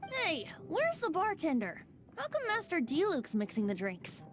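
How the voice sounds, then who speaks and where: A woman's voice: very high-pitched, wildly swooping pitch, normal speed, faint music behind, full character voice. A woman voicing a breezy, merry, boyish young bard in a video game, playful and carefree. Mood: curious.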